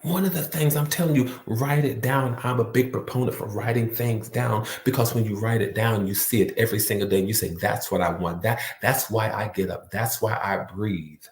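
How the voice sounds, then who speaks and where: A man's voice: lowish voice, steady tone, normal speed, hardly any pauses, echoey room. A man giving a motivational talk with a repetitive, preacher-like cadence. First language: not French. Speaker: motivational speaker.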